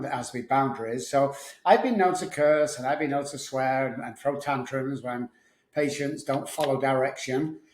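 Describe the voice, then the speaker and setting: A middle-aged man with a Manchester English accent, answering interview questions conversationally as a podcast guest. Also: medium pitch. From Manchester, England. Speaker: podcast guest, addiction recovery specialist. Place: home office and recording studio. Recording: roomy, echoey office studio.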